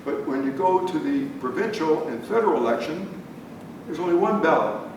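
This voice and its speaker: He is a male moderator with a slightly raised pitch, laying out his point with emphatic stresses. He is picked up from a distance in a very reverberant hall, under heavy background noise and clicks. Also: lively intonation, brisk. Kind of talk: debate moderation and explaining. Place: public meeting hall. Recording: echoey hall, distant mic, loud noise.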